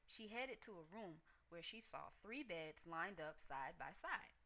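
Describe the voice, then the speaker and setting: Bright-voiced woman reading a children's story. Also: fairly low pitch, lively intonation, measured pace. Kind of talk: expressive scripted children's story narration.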